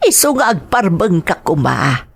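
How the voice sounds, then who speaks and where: An elderly Filipino grandmother, played by a woman in an audio drama. Her voice is husky and on the low side, and she speaks very slowly. She is tender, caring and prone to worry. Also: big pitch swings, exaggerated voice. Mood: tender, pleading. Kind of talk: Ilocano audio drama acting.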